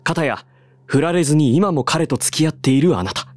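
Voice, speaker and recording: An actor playing a calm, deadpan male bartender who cracks absurd jokes and explains theories at length, in a voice drama. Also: fairly high pitch, a little fast, no room sound, faint music behind, close to an everyday voice. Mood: dry. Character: calm deadpan male bar master, joking.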